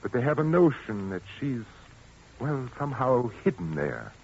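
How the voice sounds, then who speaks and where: An actor playing a smooth, sinister widower in 1940s radio drama, suave and insinuating. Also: male voice, medium pitch, big pitch swings, moderate pace, a little mellow. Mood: brooding. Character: smooth sinister widower, middle-aged man. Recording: dull old radio recording with faint hiss.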